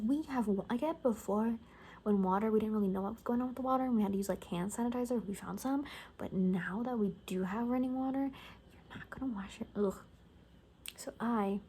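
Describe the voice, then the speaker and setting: Actress as gossipy survivor hairdresser, female, ASMR roleplay. Very soft, warm tone, halting casual delivery, half-whispered, slight noise behind. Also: mid-range voice, close to an everyday voice. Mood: mildly disgusted. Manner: hushed, often slipping into whisper, casual and halting. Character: gossipy young woman, zombie apocalypse survivor cutting hair. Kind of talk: soft-spoken ASMR roleplay, improvised chatty storytelling.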